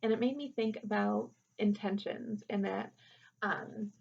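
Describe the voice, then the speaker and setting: A woman's voice: mid-range voice, steady tone, unhurried, creaky, darkish tone, slightly rough. A woman who teaches first grade, reading a picture book aloud expressively for children. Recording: dull lo-fi audio.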